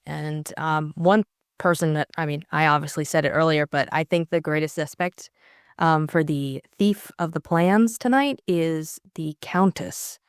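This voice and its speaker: A woman's voice: low voice, clean tone, no room sound, clean studio sound. A young adult woman co-hosting a casual discussion of a classic novel. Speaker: audiobook co-host.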